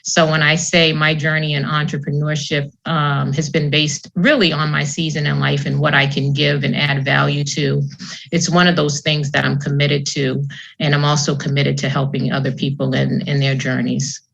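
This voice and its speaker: A woman's voice: low voice, little pitch movement, normal speed, gravelly, fairly bright tone, dull audio. A woman from Boston, an entrepreneur on a panel, telling her story earnestly and reflectively over an online call. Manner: earnest, reflective, some restarts. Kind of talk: panel discussion, personal story.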